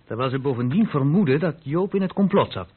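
Stern police inspector, phone-thin sound. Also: male voice, on the high side, animated, a little fast, husky. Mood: businesslike. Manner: clipped, insistent, authoritative questioning. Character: stern, reproachful male police inspector questioning a witness. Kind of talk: radio drama acting. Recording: muffled narrow-band old radio recording.

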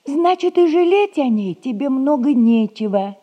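A folksy household servant in a 1960s radio stage comedy. She is a knowing, bossy go-between who wheedles in plain colloquial speech. Her voice sits fairly high and moves up and down with great animation. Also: expressive, measured pace, muffled recording. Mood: matter-of-fact, teasing.

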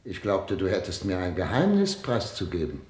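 An actor playing an imperious but easily shaken head of state in a German radio play, curt and commanding. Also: male voice, deep voice, wildly swooping pitch, normal speed, a little mellow. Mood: stern, suspicious. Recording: roomy, echoing studio sound.

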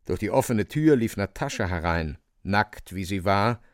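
A man narrating a radio drama from a script, in an expressive storytelling style. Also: on the low side, moderate pace, no echo, studio quality.

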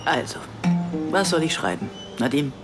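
A woman's voice: sits low, very animated, normal speed, over music. An actress playing an Israeli woman writer in a naturalistic radio drama, teasing and a little awkward. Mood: wry.

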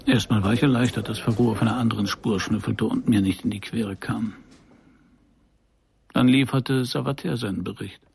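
A man's voice: lowish voice, fairly even intonation, moderate pace, gravelly, a little mellow, a little hiss or hum. An actor playing a middle-aged private detective in a noir radio play, narrating his case in a dry, laconic, sardonic way. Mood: wry, reflective. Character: hard-boiled male private detective narrating his case, wry and probing.

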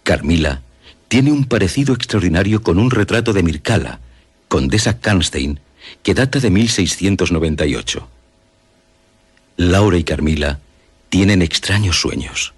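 Deep, very husky male announcer. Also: animated, normal speed, long pauses. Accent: Castilian Spanish. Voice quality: husky, rough. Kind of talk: dramatic scripted recap narration.

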